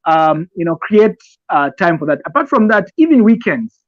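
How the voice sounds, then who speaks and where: A man with a Zambian accent and a slightly high voice talks in a conversational, advisory way. He explains deliberately and haltingly, repeating phrases as he goes. The recording is dry and a little dull. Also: big pitch swings, no echo. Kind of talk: conversational advice talk with personal examples.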